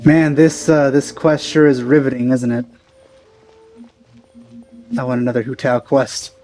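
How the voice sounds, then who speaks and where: A man's voice: medium pitch, fairly even intonation, measured pace, a little mellow. A man giving casual let's play commentary in a dry, skeptical, sarcastic way. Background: faint game music.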